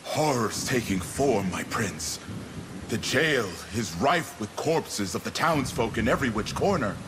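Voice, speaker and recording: A man plays a formal, obedient retainer in a fantasy game. His voice is medium-pitched and deferential. Rainy storm noise surrounds it. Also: animated, unhurried, natural voice. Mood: grim. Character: dutiful, formal male retainer reporting to his prince. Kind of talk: fantasy video game acting. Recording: noisy rainstorm ambience.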